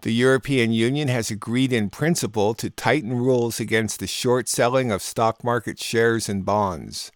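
A man's voice: medium pitch, moderate pace, hardly any pauses, dry recording. American man hosting, very dry sound. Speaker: radio show host and producer. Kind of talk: scripted news review narration.

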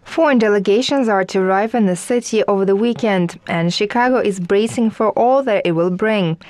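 A woman reading scripted news reports in a steady, even manner. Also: mid-range voice, fairly quick, no room sound. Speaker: news presenter.

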